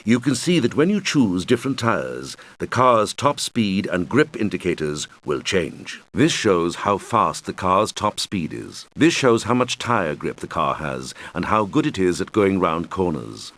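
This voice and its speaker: A man voicing a suave, showy island owner and racing champion who guides players through a video game in a theatrical, deliberate, instructive manner. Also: low voice, very animated, fairly bright tone, heavily acted. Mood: helpful, instructive. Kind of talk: scripted game tutorial voice-over.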